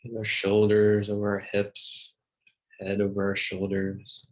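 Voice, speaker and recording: Male meditation guide: low, very unhurried, gentle delivery with soothing pauses. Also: monotone, dull audio. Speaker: meditation teacher.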